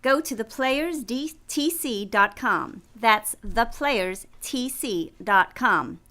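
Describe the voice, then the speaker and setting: A woman's voice: fairly high pitch, animated, takes it slowly, bright, forceful tone. A woman reading a scripted promotional announcement. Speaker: promo announcer for a community theatre.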